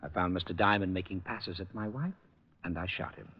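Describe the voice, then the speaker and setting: An actor playing a cold, scheming businessman and amateur killer with a measured, polite manner, in old radio drama style. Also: deep voice, breathy edge, dry recording. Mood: cold, menacing. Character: cold, scheming male office executive turned amateur killer. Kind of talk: 1940s-50s radio drama acting. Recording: muffled old radio recording, music behind.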